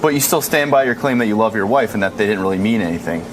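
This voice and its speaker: A man's voice: lowish voice, expressive, quick pace, noisy background. An American man asking casual, probing questions as an interviewer.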